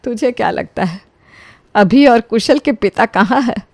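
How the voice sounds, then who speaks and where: A woman's voice: fairly high pitch, expressive, a little slow, creaky, no echo, heavily acted. A woman playing a wronged elderly mother-in-law in a dramatic audio story, speaking solemnly and with emotional emphasis. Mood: grave.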